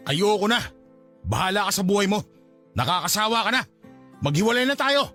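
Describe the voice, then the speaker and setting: A high, bright-voiced Filipino man as a callous lover in a radio drama. His pitch swings widely as he scolds, evasive and dismissive. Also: very animated, a little fast, soft background music, heavily acted. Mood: fed up.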